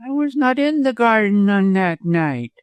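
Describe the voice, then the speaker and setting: A sneaky, spiteful lawyer villain from a storybook, acted out by a male narrator. The voice sits high and snarls harshly, cowardly yet full of venom. The sound is close and dry. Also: expressive, measured pace, clear, resonant tone, no room sound. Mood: smug, defiant. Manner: snarling, gasping, harsh, chuckling.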